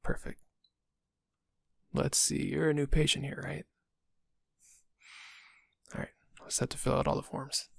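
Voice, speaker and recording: Man playing a gravelly, subtly sinister receptionist. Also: medium pitch, monotone, unhurried, pauses often, no room sound, studio quality. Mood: friendly, businesslike. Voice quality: gravelly, husky. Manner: casual, polite, quietly insinuating. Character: friendly, subtly sinister male dental office receptionist. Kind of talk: intimate audio roleplay acting.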